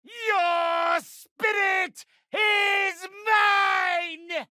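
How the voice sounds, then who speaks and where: An actor playing an imperious, wrathful undead necromancer in a video game, with a theatrical, menacing, commanding delivery. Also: male voice, very high-pitched, fairly even intonation, drawn-out words, pushed and bright, no room sound, raised voice, studio quality, exaggerated voice. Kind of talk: video game character acting.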